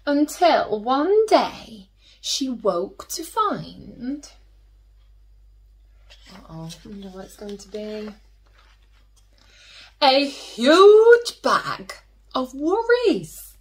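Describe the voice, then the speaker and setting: Woman, children's story reader, unhurried, expressive swings in pitch, drawn-out emphasis, hushed asides, teacherly. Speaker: teacher reading children's stories, host. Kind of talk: expressive picture-book read-aloud for children.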